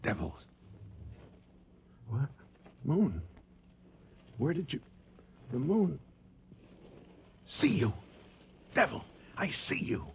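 A lone hunter in a radio play, worn out and gripped by fear. His delivery is extremely slow and broken, with wide swings of pitch over a faintly noisy recording. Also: big pitch swings, gravelly, full character voice. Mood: tense, watchful. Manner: halting, broken fragments, muttering repetition. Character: exhausted, terrified lone hunter stalking a lion at night. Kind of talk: dramatic radio monologue.

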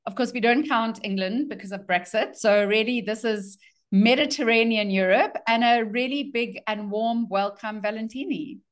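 A woman's voice: a little high, normal speed. A woman hosting a podcast interview in an enthusiastic, chatty way. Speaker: podcast host.

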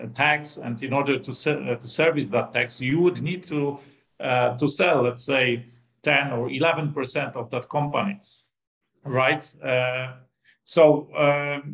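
A man giving a spontaneous explanation as an interviewee, halting often with hesitations. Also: mid-range voice, fairly bright tone, poor audio. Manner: halting, hesitant with many pauses.

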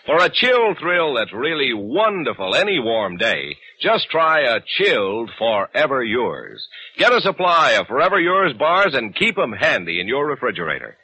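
Casting: male old-time radio pitchman, animated mid-range voice, polished and emphatic, faint hiss. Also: normal speed, low-quality recording. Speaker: announcer. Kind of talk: 1940s radio commercial announcing.

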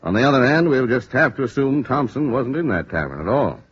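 An actor playing a businesslike American police lieutenant in a vintage radio drama, frank, firm, deliberate and emphatic. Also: male voice, mid-range voice, animated, breathy edge. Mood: weighing, frank. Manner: deliberate, emphatic, matter-of-fact. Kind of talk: 1950s radio drama acting. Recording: muffled, hissy old radio recording.

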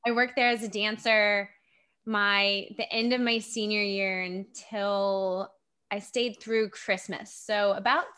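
A woman's voice: medium pitch, steady tone, takes it slowly, bright, forceful tone, smooth. A woman in her thirties, raised in Japan, chatting as a guest on an interview show, chatty and self-deprecating with frequent laughs. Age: 30s. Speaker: guest, actor and filmmaker. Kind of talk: casual interview chat.

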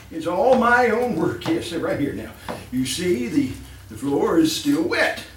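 A man's voice: high-pitched, lively intonation, takes it slowly, fairly bright tone, echoey room, far from the mic, a little hiss or hum, exaggerated voice, rough recording. A man playing a fussy, murderous master of the house in a 1930s-style radio horror play, with a stammering, repetitive, chuckling delivery. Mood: gloating, menacing. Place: live stage performance in a room.

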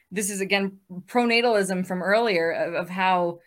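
A woman's voice: medium pitch, unhurried. Upbeat woman moderating a panel. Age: 30s. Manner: chatty and upbeat, laughs easily. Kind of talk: casual panel discussion. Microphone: video call.

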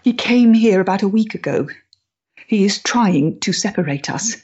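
A woman's voice: mid-range voice, animated, moderate pace, darkish tone, husky, highs cut off. An actress playing a proud, intense, troubled freethinking woman of the 1890s in a period stage drama, speaking emphatically and articulately. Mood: tormented.

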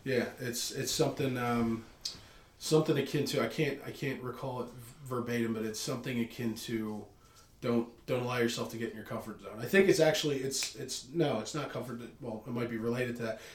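A man's voice: mid-range voice, steady tone, a little fast. A man of thirty talking casually and earnestly as a podcast guest, reflective and emphatic about what he says. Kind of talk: casual long-form conversation. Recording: echoey, reverberant room.

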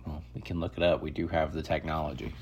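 A man hosting a casual pop-culture podcast, talking in an excitable, emphatic, slangy way. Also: rumbling low, quick pace, creaky, heavy background noise. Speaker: podcast host. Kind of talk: casual chat about movies and games.

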